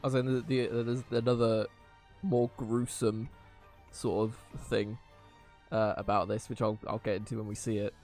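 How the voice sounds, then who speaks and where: A man's voice: fairly low pitch, level tone, moderate pace, darkish tone. A young man giving casual live gameplay commentary, rambling hesitantly in an amused way. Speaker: game streamer. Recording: game music behind voice.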